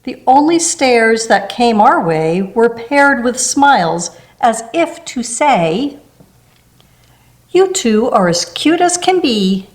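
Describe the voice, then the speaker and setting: A woman reading a rhyming children's picture book aloud in a gentle storybook manner. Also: on the high side, big pitch swings, slow.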